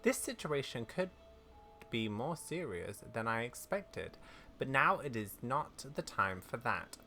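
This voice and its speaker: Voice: medium pitch, very animated, a little slow, sharp tone, full character voice. A gamer voicing a bold, quirky, self-important female talking horse from a video game, in a proud, theatrical manner. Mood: grave, theatrical. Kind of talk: read-aloud video game dialogue. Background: game music.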